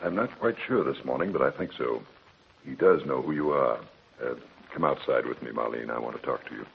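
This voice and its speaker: Very deep, gravelly country doctor. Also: male voice, dull audio, heavily acted. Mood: gentle, grave. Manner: measured, solemn, paternal. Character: grave, kindly male country family doctor. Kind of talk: old-time radio drama acting. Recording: thin noisy old radio recording.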